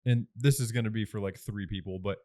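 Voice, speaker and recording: एक आदमी, तीस के दशक में, पॉडकास्ट पर हल्के-फुल्के अंदाज़ में बात करता हुआ, अक्सर हँसता और सोचते-सोचते बोलता हुआ।. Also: male voice, lowish voice, brisk. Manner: animated, laughs often, thinks aloud. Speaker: podcast co-host. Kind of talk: casual chat.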